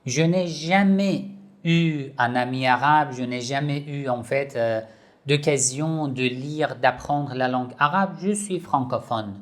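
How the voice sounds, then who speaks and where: Slow, emphatic man teaching online. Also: medium pitch. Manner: slow, repetitive, emphatic explaining. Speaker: online Islamic institute teacher. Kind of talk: slow instructional video talk.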